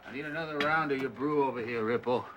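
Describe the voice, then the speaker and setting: An actor playing a hapless, idle gold miner in a folksy television western, with a halting way of talking. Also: male voice, mid-range voice, highs cut off, close to an everyday voice. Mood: boastful. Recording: noisy VHS tape transfer.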